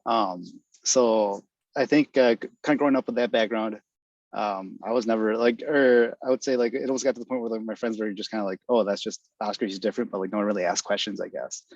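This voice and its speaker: A man's voice: fairly low pitch, steady tone, fast talker, dry recording. A young man from Wisconsin, born in Guatemala, answering a panel question over a video call, hesitant with many "uh" and "I guess" fillers. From United States (Wisconsin), born in Guatemala. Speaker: study abroad alumnus panelist. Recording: dull lo-fi video call audio.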